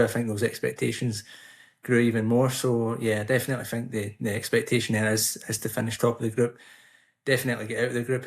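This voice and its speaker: Man, podcast guest, monotone delivery, mid-pitched, measured explanations. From United Kingdom. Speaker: podcast guest, Swiss football commentator. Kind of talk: casual podcast interview.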